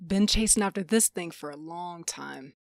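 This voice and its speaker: A woman's voice: lowish voice, normal speed, gravelly, no echo, studio quality. A woman playing a stern, determined aunt in a sci-fi audio drama, speaking firmly and tersely.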